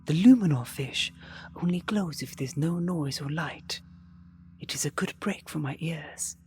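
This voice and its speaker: A performer playing a genteel, soft-spoken princely host in a sci-fi audio drama, formal and gracious. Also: mid-range voice, unhurried, a little hiss or hum, lightly acted. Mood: hushed.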